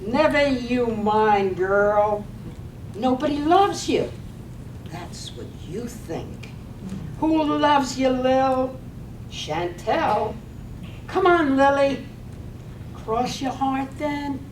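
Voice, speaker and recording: A cheeky young maid, played by a woman in a poetic drama, teasing and very high in pitch. She draws her words out very slowly and swings up and down. The mic is distant in a roomy space. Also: expressive, exaggerated voice. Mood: saucy, teasing. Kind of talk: poetic radio play read aloud on stage. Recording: echoey room, distant mic, faint rumble.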